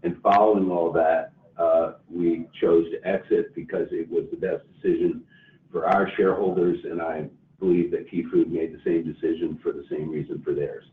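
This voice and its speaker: A man speaking as a company executive, giving careful, measured and halting corporate answers on an earnings call. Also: deep voice, level tone. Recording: roomy, distant phone-like line with faint noise.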